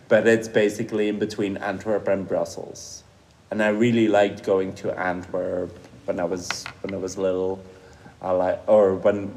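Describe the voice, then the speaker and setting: A man's voice: low voice, gravelly, darkish tone. A man, a Dutch speaker and teacher, answering interview questions thoughtfully and hesitantly with many fillers. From Flemish Belgium. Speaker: primary school teacher interviewed by a pupil.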